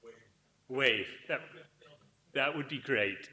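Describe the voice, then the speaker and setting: A man's voice: medium pitch, slow pace, sharp tone. A man with a Scottish accent giving an upbeat, wry academic talk at a conference. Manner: upbeat, wry, self-deprecating. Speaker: conference speaker. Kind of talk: academic presentation. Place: conference hall.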